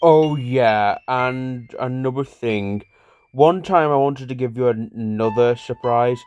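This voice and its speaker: A man's voice: mid-range voice, slow, a little mellow, muffled recording, lightly acted. A man voicing a bickering, sweary cartoon buddy who reminisces in a rambling, repetitive, conversational way. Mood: bickering, recounting. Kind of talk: casual improvised-sounding cartoon dialogue.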